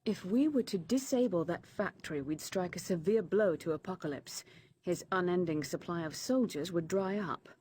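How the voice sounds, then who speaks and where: An actress playing a composed, serious heroine in a video game, measured and articulate. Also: female voice, lowish voice, darkish tone, slight background noise, close to an everyday voice. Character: composed, serious woman hero discussing strategy.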